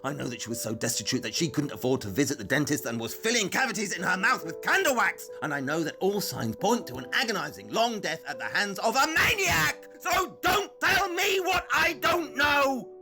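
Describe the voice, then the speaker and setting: An actor playing an intense, obsessive detective in an audio play, arguing with emphatic, deliberate delivery. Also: male voice, high voice, very animated, music in the background. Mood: angry, anguished. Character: obsessive male British detective, intense, convinced of his deductions.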